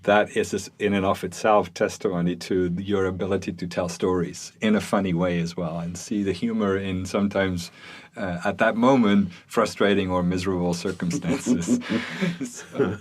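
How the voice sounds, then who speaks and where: A man hosting an interview, asking measured questions with some fillers. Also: low-pitched, steady tone. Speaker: podcast host and interviewer.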